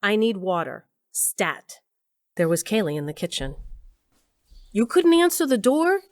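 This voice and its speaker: A woman's voice: on the low side, moderate pace, no echo, lightly acted. An actress playing a sardonic, weary older woman scientist who narrates her own story in a dry way. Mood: wry, exasperated. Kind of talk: first-person audio drama narration.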